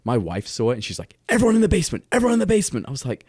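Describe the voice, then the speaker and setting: A man co-hosting a casual podcast chat, getting over a cold, telling stories in a chatty, animated way and often repeating words as he goes. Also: a little high, rapid-fire, no echo, studio quality. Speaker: podcast co-host.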